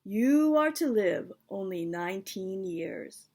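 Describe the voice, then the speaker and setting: A woman voicing an absorbed, musing old man in a storybook, speaking in a slow, deliberate, pondering way. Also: mid-range voice, animated, darkish tone. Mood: grave.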